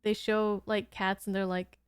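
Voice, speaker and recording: A woman's voice: medium pitch, level tone, measured pace, clean tone, no echo, studio quality. A woman giving casual reaction commentary in an informal, giggly, rambling way. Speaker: host of a reaction channel.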